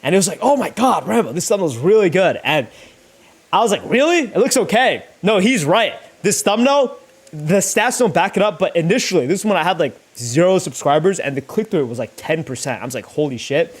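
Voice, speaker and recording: A man's voice: high-pitched, very animated, a little fast, breathy edge. A young man chatting casually and thinking aloud as he works, rambling in slangy, sweary language. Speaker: YouTuber livestreaming thumbnail making. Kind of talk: casual chat, thinking aloud while working.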